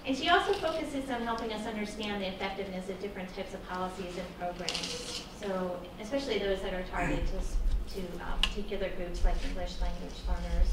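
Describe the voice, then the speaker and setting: A woman formally introducing a guest speaker in a university classroom, in a measured way. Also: mid-range voice. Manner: reads a measured, warm introduction. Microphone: far room microphone. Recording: very echoey classroom from far off, noisy.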